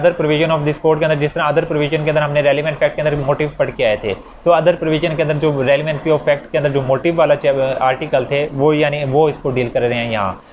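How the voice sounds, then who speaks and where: A man's voice: on the high side, lo-fi sound, a little hiss or hum. A man with a Pakistani accent giving an informal step-by-step law lecture, repeating key phrases and mixing in English legal terms. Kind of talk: explanatory lecture with worked examples.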